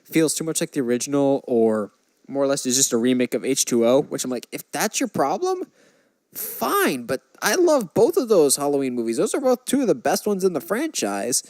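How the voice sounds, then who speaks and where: A man's voice: on the high side, big pitch swings, normal speed, a little mellow, dry recording. A man co-hosting a podcast, chatting casually and enthusiastically about his opinions as a fan. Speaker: podcast co-host. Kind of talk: casual movie chat.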